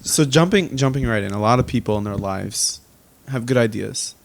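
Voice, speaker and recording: A man's voice: mid-range voice, expressive, moderate pace, gravelly. A man co-hosting an interview, friendly and teasing as he asks questions. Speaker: podcast co-host. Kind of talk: casual interview chat.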